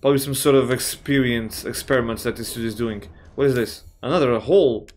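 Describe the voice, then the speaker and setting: Slightly raspy man doing gameplay commentary. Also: mid-range voice, brisk, darkish tone. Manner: swears often, thinks aloud, reacts with surprise. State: slightly raspy from just waking up. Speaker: gamer playing a horror game. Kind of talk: casual let's-play commentary.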